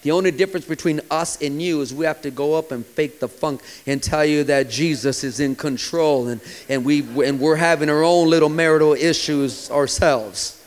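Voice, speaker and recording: A man in his forties, a pastor preaching a sermon with impassioned delivery that sometimes drops to a hushed, quiet tone. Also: fairly high pitch, steady tone, moderate pace, hardly any pauses. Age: 40s.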